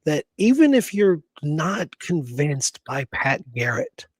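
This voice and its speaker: A man's voice: on the high side, big pitch swings, slow, dry recording. A man co-hosting a casual history podcast, speaking in an emphatic, informal, argumentative way. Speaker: podcast co-host.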